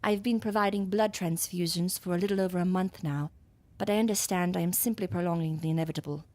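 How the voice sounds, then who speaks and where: A woman's voice: fairly low pitch, fairly even intonation, fast talker, a little mellow, no room sound, lightly acted. A woman playing an eccentric, imperious doctor in a gothic audio drama, with theatrical, archaic and knowing delivery. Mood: confiding, intense. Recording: music under the voice.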